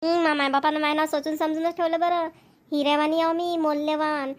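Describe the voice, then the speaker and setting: A woman voicing a proud, sharp-tongued rural wife in a cartoon, bickering and boastful. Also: high voice, little pitch movement, brisk, natural voice. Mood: teasing. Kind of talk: cartoon voice acting.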